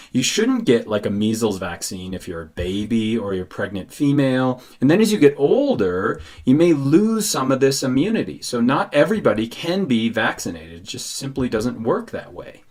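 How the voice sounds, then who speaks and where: A man teaching in a didactic explainer style, posing questions and answering them himself. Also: mid-range voice. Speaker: science educator. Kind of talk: explainer lesson narration.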